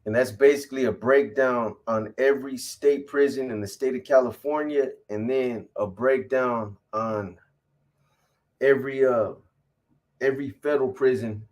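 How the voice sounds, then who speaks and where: A man's voice: mid-range voice, a little mellow. A man in his fifties hosting a casual livestream, answering viewer comments in a laid-back, profane, slang-heavy way. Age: 50s.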